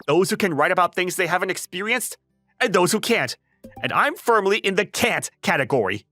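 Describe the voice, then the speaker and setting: An exasperated high school boy acted by a man with a highly animated, swinging delivery. He is a flustered, introverted young writer from an anime comic dub who lectures dramatically, with music playing underneath. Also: brisk, no room sound. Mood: exasperated, frantic. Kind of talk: anime-style comic dub acting with narration.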